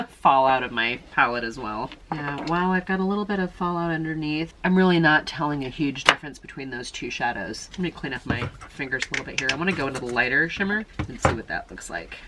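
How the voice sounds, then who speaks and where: A woman chatting casually through a makeup tutorial, thinking aloud with quick asides and a slightly nasal sound. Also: low-pitched, noisy background. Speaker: beauty vlogger.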